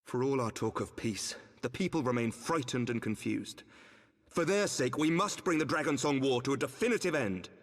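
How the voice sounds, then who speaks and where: A man acts as an earnest noble lord from a fantasy game. His mid-pitched voice is formal, measured and courteous, carrying the burden of office through a long, ringing hall reverb. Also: animated, natural voice. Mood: resolute, courteous. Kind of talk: dramatic fantasy video game cutscene acting.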